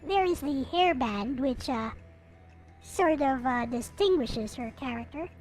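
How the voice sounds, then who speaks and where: A woman's voice: high-pitched, expressive, measured pace, darkish tone, slight background noise. A woman giving a casual, playful show-and-tell commentary as a streamer presenting collectibles. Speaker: streamer showing collectible anime figures. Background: music.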